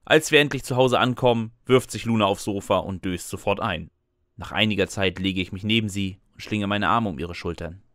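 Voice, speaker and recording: A man's voice: fairly low pitch, a little fast, a bit bright, dry recording, natural voice. A man playing a sardonic, lonely narrator in a scripted first-person audio drama, with a dry manner. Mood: sad, wistful. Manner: dry, sarcastic. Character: frustrated, sardonic male narrator, lonely and sentimental underneath. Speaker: narrator and lead character. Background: music.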